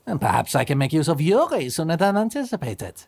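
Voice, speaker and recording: A man playing a suave, possessive vampire husband who speaks in smooth, courtly endearments. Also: fairly high pitch, very animated, a little fast, darkish tone, heavily acted. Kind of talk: audio drama acting.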